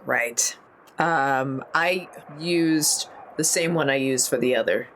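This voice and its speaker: A woman playing a tabletop game, chatting casually and reacting in an expressive, exclamatory way. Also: a bass voice, slow pace. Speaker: player. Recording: noticeable background noise, room ambience.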